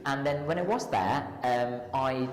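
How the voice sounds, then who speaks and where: A woman's voice: rumbling low, a little slow. A woman from Thailand with a Thai accent, a lecturer and tutor answering interview questions in a hesitant way, with many ums and restarts. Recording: echoey hall with long reverb.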